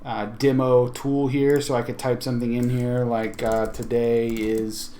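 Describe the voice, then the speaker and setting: A man's voice: mid-range voice, little pitch movement, a little slow, warm tone. Casual man hosting a tech tutorial. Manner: informal, conversational, thinking aloud. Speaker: tech video host. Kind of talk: casual software tutorial walkthrough.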